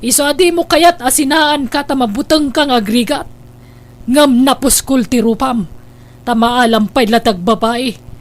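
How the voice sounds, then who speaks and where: A woman's voice: sits high, normal speed, lightly acted. A woman acting in a Filipino radio drama as a betrayed, embittered wealthy wife, scornful and emphatic. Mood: scornful, angry.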